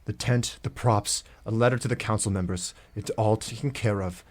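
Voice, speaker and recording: An actor playing an exhausted, driven circus ringmaster who speaks curtly and gives orders in a radio drama. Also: male voice, lowish voice, moderate pace, lightly acted. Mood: urgent, insistent. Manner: curt, commanding.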